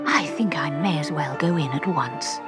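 A woman's voice: low-pitched, normal speed, loud music behind the voice, lightly acted. A woman voicing a polite, curious young girl in a storybook, prim, earnest and easily indignant.

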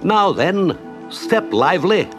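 A man voicing a jolly, brisk, hearty water rat in a children's story, exclamatory and confident. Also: high voice, big pitch swings, music in the background, voice pushed up, full character voice.

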